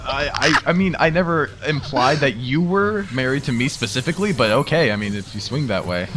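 A man co-hosting a gaming video in casual, teasing banter with excitable interjections. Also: medium pitch, a little fast, very noisy. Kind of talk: casual joking gameplay banter. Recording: game music behind the voice. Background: video game music.

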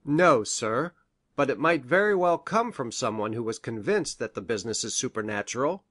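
A woman's voice: a bass voice, lively intonation, moderate pace, a bit bright, breathy edge, no echo, studio quality, voice pushed down, exaggerated voice. A woman voicing an earnest male doctor in an audiobook, formal and deferential in manner. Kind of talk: acted audiobook dialogue.

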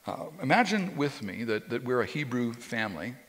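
A man's voice: medium pitch, sing-song, moderate pace, fairly bright tone. A man in his fifties preaching a sermon as pastor, talking in a loose conversational way with frequent restarts and repeated words. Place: church stage with a drum kit behind, indoors. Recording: long reverb of a church hall.

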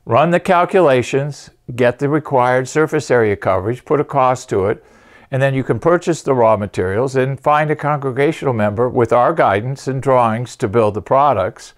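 Older man giving an informal explanatory lecture. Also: mid-range voice. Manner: explanatory, punctuates points with "okay". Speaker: acoustics company presenter and instructor. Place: indoor studio, at a chalkboard.

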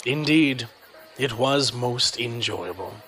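An actor playing a wealthy, coolly menacing creditor, formal and measured, in an audio drama. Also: male voice, medium pitch, animated, fairly bright tone, soft background music, poor audio. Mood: smug menace. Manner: formal, measured, proverb-quoting. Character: wealthy, punctilious crime-boss creditor, man, coolly menacing.

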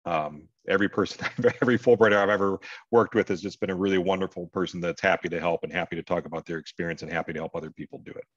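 Middle-aged American man, deep low voice, dry dull video-call sound, conversational advisor explaining to an audience. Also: steady tone, rapid-fire, dry recording. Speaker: scholarship advisor hosting an online panel. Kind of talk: informal advising talk to an online audience. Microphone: video call microphone.

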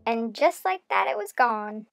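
A woman's voice: a little high, sing-song, a little slow, creaky, full character voice. A woman voicing a cheerful young dog who narrates her life on a sailboat in a bubbly, punny way, with pirate talk. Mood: playful. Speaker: vlog narrator. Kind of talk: scripted playful narration. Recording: music playing under the voice. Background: music.

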